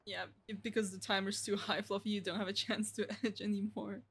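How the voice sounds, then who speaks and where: A woman's voice: mid-range voice, flat delivery, a little fast, husky. A young adult woman streaming a game, commenting casually with slang and swearing.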